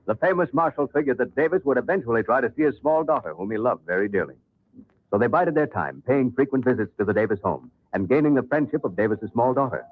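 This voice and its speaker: A man's voice: mid-range voice, lively intonation, quick pace, slightly rough, phone-quality sound. A man narrating an old-time radio western as its storytelling announcer. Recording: thin, slightly noisy old radio recording.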